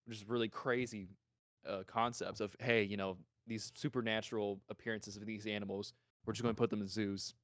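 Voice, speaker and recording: Male podcast co-host, low clean voice, close dry sound, informal and animated discussion. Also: fairly quick, no echo.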